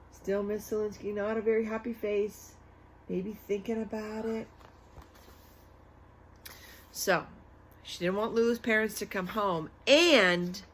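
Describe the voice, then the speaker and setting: A woman's voice: mid-range voice, fairly bright tone, breathy edge, low-quality recording. A woman reading a children's story aloud dramatically, with chatty asides and questions to her listeners.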